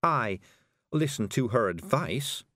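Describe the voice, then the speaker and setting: A man voicing a middle-aged woman witch who speaks slowly, calmly and patiently. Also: mid-range voice, no room sound, clean studio sound. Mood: measured. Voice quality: slow, measured, patient.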